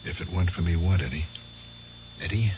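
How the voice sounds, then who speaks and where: Actor as troubled, very deep-voiced middle-aged man. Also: a little fast, lightly acted. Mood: concerned. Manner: earnest, reluctant. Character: troubled, cautious middle-aged veteran with much to lose. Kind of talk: 1970s radio drama acting. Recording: muffled old radio recording, faint hum.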